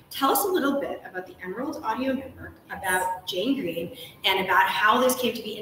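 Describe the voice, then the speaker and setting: A woman's voice: a little high, fairly bright tone. A woman hosting a live interview in an effusive, gushing way, introducing her guest and asking questions. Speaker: host interviewing an author. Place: large ornate hotel room, indoors. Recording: very echoey room, distant mic, faint noise.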